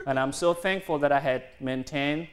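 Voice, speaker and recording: A man in his twenties from South Sudan, a native Dinka speaker, giving an earnest university talk in halting, non-native English with restarts. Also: on the high side. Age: 20s. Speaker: guest speaker. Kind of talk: university lecture.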